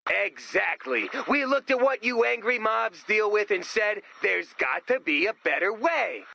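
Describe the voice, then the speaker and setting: A man voicing an excitable, goofy inventor in a video game, talking like an eager salesman. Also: high voice, measured pace, creaky, exaggerated voice. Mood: salesman pride. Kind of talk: video game character dialogue.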